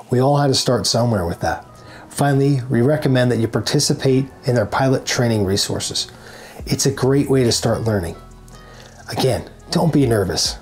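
A man's voice: medium pitch, a little mellow. A man in his forties giving scripted, instructional narration to camera as a tutorial presenter. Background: background music.

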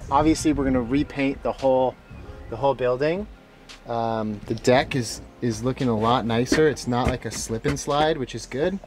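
A man's voice: mid-range voice, normal speed. Man talking through a DIY job. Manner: explains each step plainly and calmly. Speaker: host of a home renovation channel. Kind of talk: casual DIY vlog narration.

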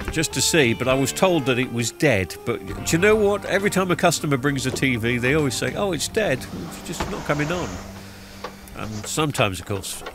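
A middle-aged man with a blocked-up nose from a cold, chatting loosely through a voiceover about a TV repair with lots of ums and uhs. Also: mid-range voice. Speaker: TV repair vlogger. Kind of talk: casual voiceover commentary. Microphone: studio microphone recorded in post-production. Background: background music.

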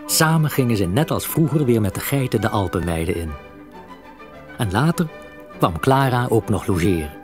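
A man with a fairly low voice narrates a children's tale. He tells it expressively, leaving dramatic pauses, over faint music. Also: lively intonation. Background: soft music.

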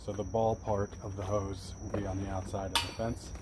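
A fairly low-voiced man in his forties talks through his gear in a loose, rambling way, full of ums and uhs. He is recorded outdoors on a camera mic. Crickets and insects fill the background noise. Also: normal speed. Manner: relaxed, rambling, many fillers. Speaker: detailing business owner showing gear. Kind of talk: casual vlog walkthrough. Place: outdoors by a fenced wall at night, later daytime.

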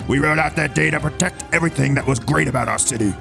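Gravelly soldier narrator played by a man. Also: on the high side, quick pace, over music. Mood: grave, proud. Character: grave Athenian soldier recalling a march to war. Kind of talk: dramatic scripted narration.

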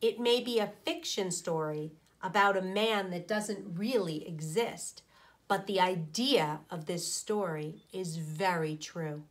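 A woman, a teacher reading a children's storybook deliberately and expressively. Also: lowish voice. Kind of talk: storybook read-aloud for children. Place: small indoor room.

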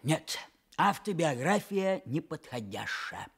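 An actor playing a brash, teasing young village know-it-all in a radio play, breezy and slangy with bits of pompous official jargon. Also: male voice, on the high side, big pitch swings, slow pace, vocal fry, fairly bright tone, slightly rough, exaggerated voice. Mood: dismissive, scornful. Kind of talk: Soviet radio play acting.

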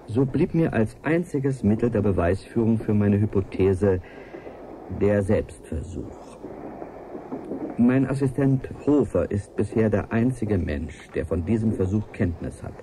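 An actor playing an earnest, lonely scientist who confides in a formal, measured way in a radio-play audio letter. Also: male voice, fairly low pitch, animated, dark tone, slight background noise, rough recording. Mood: earnest, confiding. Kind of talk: 1980s German radio play monologue.

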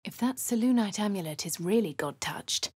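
Posh English adventurer. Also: female voice, medium pitch, animated, moderate pace, a little mellow, breathy edge, no room sound, clean studio sound. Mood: narrating. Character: refined posh young woman adventurer. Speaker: voice of a player character. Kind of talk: game voice lines, first-person narration.

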